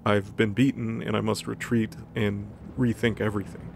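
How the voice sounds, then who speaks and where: A man's voice: fairly low pitch, little pitch movement, normal speed, vocal fry, a little hiss or hum. A man voicing a melodramatic, defeated male god with gloomy formal wording in a comic story recap.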